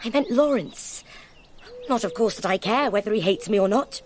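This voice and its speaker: A woman's voice: medium pitch, moderate pace, slight background noise, lightly acted. Girlish, lilting young Englishwoman played by an actress. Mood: forlorn, confiding. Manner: girlish, expressive. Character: sweet, girlish young Englishwoman, vulnerable yet playful. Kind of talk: radio drama acting.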